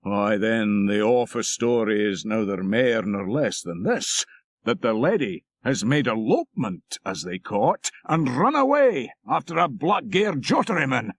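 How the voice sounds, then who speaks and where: Storybook role: male reader as sententious old Scottish shepherd, stern and preachy, lively rise and fall, close dry sound. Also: medium pitch, measured pace, no echo. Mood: sanctimonious.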